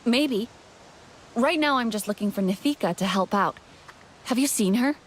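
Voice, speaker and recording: An actress playing a calm, helpful young warrior heroine in a game, easygoing, inquisitive and gently teasing. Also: female voice, on the high side, moderate pace, a little hiss or hum, lightly acted. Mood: calm, curious. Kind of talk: naturalistic game dialogue.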